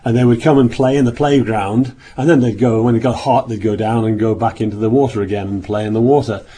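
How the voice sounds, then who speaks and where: A man giving a sermon in a meeting room, speaking hoarsely as he nearly loses his voice, rambling with many restarts. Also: medium pitch, fairly even intonation, quick pace, dark tone. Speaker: minister. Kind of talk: sermon opening with travel account.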